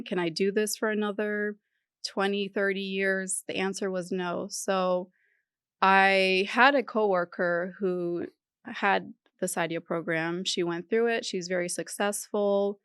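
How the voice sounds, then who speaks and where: A woman's voice: medium pitch, fairly even intonation, a little slow, fairly bright tone, clear, resonant tone, no echo, studio quality. A middle-aged woman from Iran, a podcast guest talking candidly and with determination about her career change. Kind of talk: casual interview talk.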